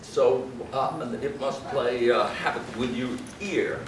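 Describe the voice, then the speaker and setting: A man's voice: medium pitch, steady tone, takes it slowly. Foreign-accented man hosting, distant and echoey. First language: not English. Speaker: concert host, interviewer. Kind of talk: informal onstage interview before an audience. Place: concert hall stage. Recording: roomy, noisy hall recording from far off.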